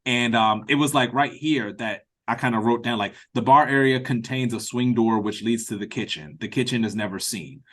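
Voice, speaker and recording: A man's voice: mid-range voice, moderate pace, few pauses, a bit bright. A man speaking as a playwright leading a read-through on a video call, giving casual, encouraging and meandering feedback. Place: remote meeting over a video call.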